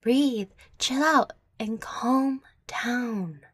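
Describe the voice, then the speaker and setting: A woman's voice: a little high, drawn-out words, breathy edge, no room sound, exaggerated voice. A woman playing a proud, combative young fairy in an audio roleplay, brash and sarcastic. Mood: reassuring.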